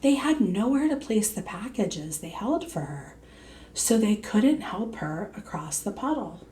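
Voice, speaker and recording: A woman reading a children's storybook aloud in a deliberate teacherly way, pausing to put questions to young listeners. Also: mid-range voice, very animated, mellow, slight background noise.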